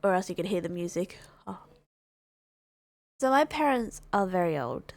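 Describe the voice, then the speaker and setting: A woman's voice: fairly low pitch, animated, moderate pace, darkish tone. A woman livestreamer chatting casually in a hesitant, rambling way, often repeating and rephrasing herself. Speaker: livestreamer and gamer.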